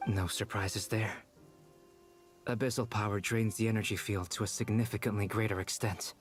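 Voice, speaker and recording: Man playing a low-voiced, analytical scholar. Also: fairly even intonation, normal speed, lightly acted. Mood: composed, instructive. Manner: precise, composed, lecturing. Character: calm, analytical young male scholar, politely cerebral. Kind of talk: video game voice acting. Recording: game music behind.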